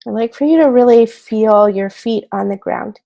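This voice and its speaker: A woman leading a guided meditation in a gentle, soothing way, unhurried and pausing between short phrases. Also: fairly high pitch, vocal fry.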